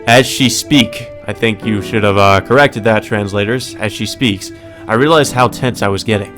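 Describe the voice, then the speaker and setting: A man's voice: lowish voice, moderate pace. A man reading a visual novel aloud as a let's player, mixing story narration with casual commentary. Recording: music under the voice.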